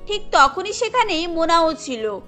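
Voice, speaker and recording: A woman tells a cartoon story as its narrator. She has a very high-pitched, bright voice over a music bed. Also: sing-song, unhurried.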